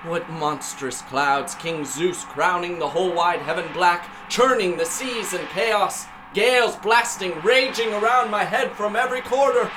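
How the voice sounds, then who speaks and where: A man's voice: high-pitched, unhurried, hardly any pauses, background noise. A man acting a desperate, stubborn shipwrecked sea captain in a declamatory, theatrical audio drama monologue. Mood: despairing. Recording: music behind the voice.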